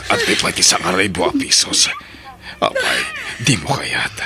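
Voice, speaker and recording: A very deep, gravelly lecherous husband. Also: male voice, wildly swooping pitch, normal speed, exaggerated voice. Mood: menacing, insistent. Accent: Ilocano. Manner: wheedling, leering, pressuring. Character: lecherous, scheming married male employer preying on his maid. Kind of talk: Filipino radio drama acting. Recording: noisy radio drama recording.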